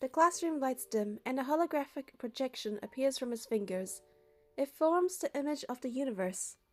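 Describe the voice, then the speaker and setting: A woman's voice: high voice, lively intonation, normal speed, darkish tone. A young woman narrating a game playthrough, reading the story and the player character's part in a casual way. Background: music.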